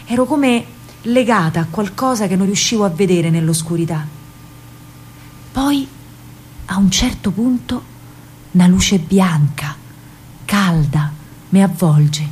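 An actress plays a young Roman woman telling her own story in a radio drama. She is spirited and dreamy, longing to be free. Her delivery is theatrical and expressive, with dramatic pauses and a marked Roman accent. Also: medium pitch, slight background noise. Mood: hushed, fearful wonder. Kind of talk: theatrical first-person monologue, radio drama.

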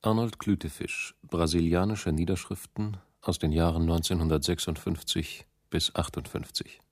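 A man's voice: low voice, steady tone, normal speed, no echo, clean studio sound. A man reading scripted scene announcements as the announcer of a radio drama.

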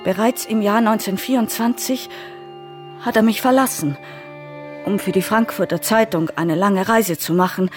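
An actress playing an anxious, accusing wife who feels abandoned, in a radio play monologue. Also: female voice, medium pitch, normal speed, natural voice. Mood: wounded, accusing. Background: music.

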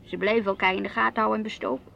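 A woman's voice: mid-range voice, little pitch movement, rapid-fire, vocal fry, fairly bright tone, lo-fi sound. An actress playing an inquisitive, earnest wife and mother in a naturalistic radio play, with a Netherlands Dutch accent. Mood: exasperated. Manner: questioning, earnest. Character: inquisitive, reflective wife and mother, easily piqued at her husband. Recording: noisy outdoor street ambience with traffic.

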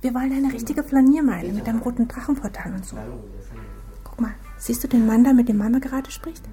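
A woman's voice: fairly high pitch, brisk, mellow, faint music behind, natural voice. An actress playing a chatty, teasing young woman in a radio crime drama.

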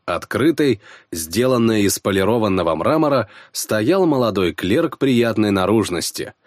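A man narrating first-person fiction as an audiobook reader, in an expressive storytelling manner. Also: lowish voice, no room sound, clean studio sound.

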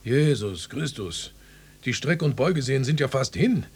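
A man's voice: medium pitch, expressive, moderate pace, darkish tone, slightly rough. An actor playing a smarmy, officious door-to-door salesman of medical bandages in a German radio drama, with over-polite, jargon-filled patter. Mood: smarmy, eager.

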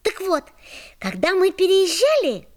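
A high-pitched, animated little boy storyteller. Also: unhurried, full character voice. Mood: eager, surprised. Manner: expressive, wide-eyed storytelling. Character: Mitya, an excitable second-grade boy telling his adventure. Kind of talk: audio fairy-tale narration and acting.

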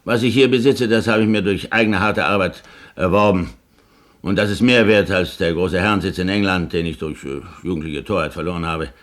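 A man's voice: low-pitched, brisk, a bit bright. An actor playing a stern, sardonic merchant and father of noble birth in a 1970s German radio drama, with a dry, firm, mocking manner. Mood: proud.

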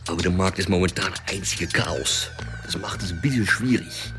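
An actor playing a cocky, slyly friendly young Dutch drug dealer in a crime radio drama, speaking German with a Dutch accent and slightly broken grammar. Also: male voice, low voice, moderate pace, music in the background, close to an everyday voice. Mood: breezy haggling.

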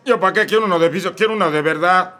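A man's voice: sits high, rapid-fire, pushed and bright. A man playing a vulgar, brash male defendant in a Mexican radio comedy sketch, heckling the court. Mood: indignant.

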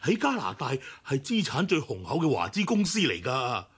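A man's voice: mid-range voice, huge pitch swings, moderate pace, a bit bright, breathy edge, full character voice. A man acting an anxious, placating company deputy manager in a Cantonese radio drama from Hong Kong, stammering and soothing. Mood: anxious, insistent.

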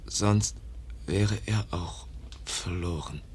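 Dying young man, very slow, lowish voice, exhausted, halting murmur, gentle, radio drama, noisy old recording. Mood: feverish, grateful. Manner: halting, breathy murmur in broken phrases. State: weak, fading, exhausted. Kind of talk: German radio play acting.

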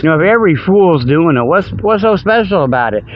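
An older man with a slightly high voice hosts a homespun radio show. He wanders through a casual, folksy monologue and jokes as he goes. The audio is dull and lo-fi. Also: expressive, a little fast, a little hiss or hum. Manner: folksy, meandering, chuckles at own jokes. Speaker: host of a hobby internet radio show. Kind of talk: rambling casual monologue, joking. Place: outdoors by a river.

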